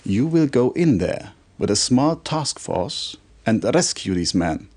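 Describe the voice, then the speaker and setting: Game cutscene role: a stern military commander, formal and authoritative, measured delivery with very lively intonation. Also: male voice, mid-range voice, breathy edge, heavily acted. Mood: stern, urgent. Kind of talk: video game cutscene acting, scripted briefing. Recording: compressed old game video audio.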